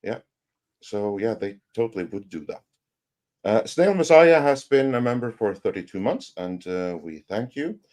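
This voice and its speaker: A man hosting a casual livestream panel, reading viewer messages aloud haltingly and adding brief comments. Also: on the low side, lively intonation, darkish tone.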